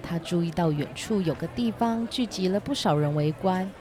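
A woman's voice: lowish voice, moderate pace, a crowd in the background. A woman narrating a scripted children's story as its storyteller. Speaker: storyteller and host.

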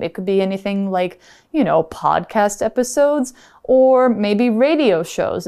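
A woman's voice: medium pitch, a little mellow, clean tone. A woman co-hosting an English-learning podcast, patiently explaining vocabulary in a casual chat. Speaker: podcast co-host teaching English.

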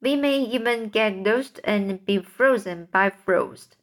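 A woman voicing an excitable, boastful male centipede in a storybook, reciting rhyming verse in a sing-song way. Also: medium pitch, takes it slowly. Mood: excited.

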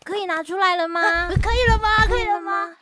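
A woman's voice: very high-pitched, a little fast, bright, forceful tone, no echo. A woman doing an eager little kid. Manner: eager, whiny, asks over and over. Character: excited young kid, one of the sons.

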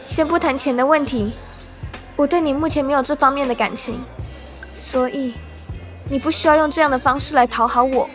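High female voice, acted proud troubled young woman, modern audio drama, light music behind. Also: steady tone, a little fast, close to an everyday voice. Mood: guarded, blunt. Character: troubled young woman, proud, distraught daughter of an injured father.

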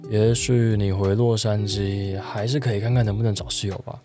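A man's voice: lowish voice, flat delivery, normal speed, close to an everyday voice. A young man acting in a radio drama as a hesitant, reserved man in his forties who is secretly in love. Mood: reserved, evasive. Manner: hesitant, wary. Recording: roomy echo, guitar music behind.

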